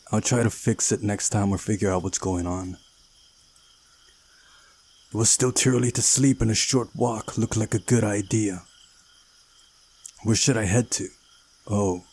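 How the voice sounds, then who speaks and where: A man's voice: lowish voice, a little mellow. A man hosting a visual novel playthrough, reading the game's narration aloud and adding casual commentary.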